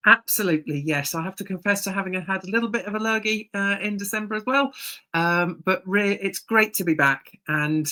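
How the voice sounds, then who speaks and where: A woman's voice: medium pitch, a little fast, pushed and bright. A woman co-hosting a casual chat podcast as a coach, talking in a friendly way with uhs and restarts.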